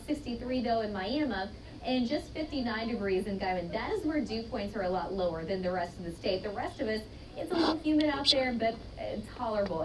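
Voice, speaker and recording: A woman's voice: a little high, a little mellow. A woman with an American accent presenting a live television weather forecast. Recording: clearly noisy, distant broadcast control-room feed.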